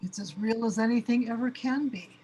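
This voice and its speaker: Woman playing husky, philosophical imaginary companion Crystal. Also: fairly high pitch, brisk, mellow, rough recording. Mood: calm, reassuring. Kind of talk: amateur radio play acting.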